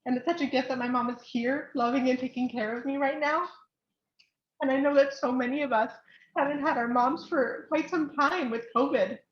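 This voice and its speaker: Young female church speaker, high voice, earnest and affectionate, informal heartfelt message, echoey room. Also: fairly even intonation, normal speed. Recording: roomy echo, dull lo-fi sound.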